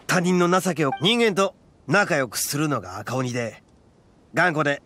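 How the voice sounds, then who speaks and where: An actor playing a brash, proud young horned oni gang boss in an anime game, with rough, blunt, swaggering speech. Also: male voice, a little high, lively intonation, moderate pace, a bit bright, a little hiss or hum. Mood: solemn, explaining.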